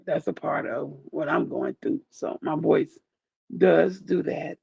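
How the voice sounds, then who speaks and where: Grieving guy w a super gravelly groggy voice, talks real slow, dull lo-fi dry sound. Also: no room sound. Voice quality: groggy, deep. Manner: soft-spoken, rambling, heartfelt. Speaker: YouTuber thanking viewers. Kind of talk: casual direct-to-camera chat.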